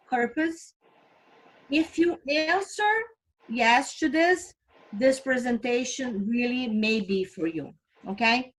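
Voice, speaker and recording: A woman's voice: on the high side, fairly bright tone, rough recording. A middle-aged woman from Brazil with a Brazilian accent, giving an earnest, upbeat recruitment presentation and asking her audience questions. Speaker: recruiting presenter for a financial firm.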